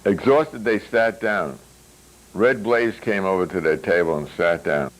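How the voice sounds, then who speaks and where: A man reading a novel aloud as its author, with wry, deliberate phrasing and hesitant asides. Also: sits low, rough recording. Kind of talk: literary reading with spoken asides.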